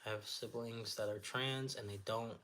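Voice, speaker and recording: Raspy nasal guy on a livestream, reads out comments and cheers ppl on, sniffly, bright voice. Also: fairly low pitch, fairly even intonation, moderate pace, slight background noise. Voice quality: naturally raspy. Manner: sniffles often; friendly, encouraging, chatty with filler words. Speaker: livestream host. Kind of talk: casual livestream chat, reading viewer comments aloud.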